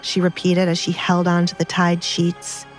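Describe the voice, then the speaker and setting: A woman's voice: fairly low pitch, completely flat delivery, moderate pace, soft background music, rough recording. A woman narrating an audiobook drama in a scripted storytelling style.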